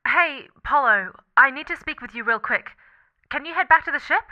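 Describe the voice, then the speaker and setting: Lo-fi comm call, secretive space lady, high pitched, sci-fi audio drama. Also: lively intonation, moderate pace. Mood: guarded, urgent. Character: secretive woman calling over a ship communicator. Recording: thin, band-limited communicator call.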